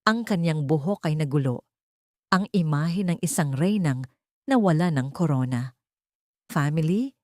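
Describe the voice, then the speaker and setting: A woman's voice: low voice, lively intonation, moderate pace, a little mellow, no echo, clean studio sound. A woman reading a dramatic story as a scripted narrator.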